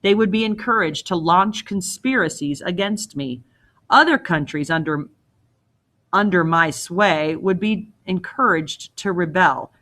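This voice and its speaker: A woman's voice: lowish voice, expressive, measured pace, a bit bright. A woman voicing a proud old king in a storybook read-aloud, scornful and commanding, often mocking with pointed questions. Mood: stern. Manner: imperious, mocking, probing with questions.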